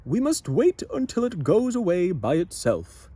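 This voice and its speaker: A man's voice: high-pitched, wildly swooping pitch, measured pace, mellow, breathy edge, no room sound, pitched above the performer's own voice, heavily acted. A man voicing a firm, easily angered storybook leader with an emphatic, commanding manner.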